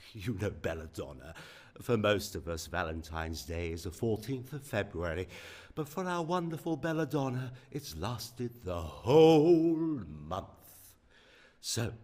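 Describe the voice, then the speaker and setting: A man playing a slow, low-voiced genteel horror host. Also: full character voice. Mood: arch, genial. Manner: theatrical, florid, savouring words with long pauses. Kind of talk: spooky radio play narration.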